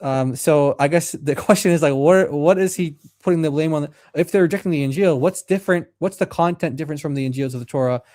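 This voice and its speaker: A man's voice: fairly high pitch, fast, few pauses, darkish tone, dry recording, studio quality. A man co-hosting a live call-in stream, easygoing and thinking aloud. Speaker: co-host. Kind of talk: casual call-in discussion.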